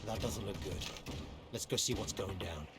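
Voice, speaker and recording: An actor playing a gruff, impatient bounty hunter in a video game, talking in a terse, blunt way. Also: male voice, low-pitched, moderate pace, natural voice. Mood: grim, terse. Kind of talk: video game cutscene acting.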